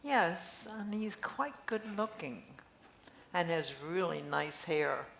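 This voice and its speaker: A woman's voice: lowish voice, animated, takes it slowly, vocal fry, fairly bright tone, full character voice. An older woman reading the part of a chatty, curious friend in an amateur play. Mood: teasing. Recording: echoey room with faint noise.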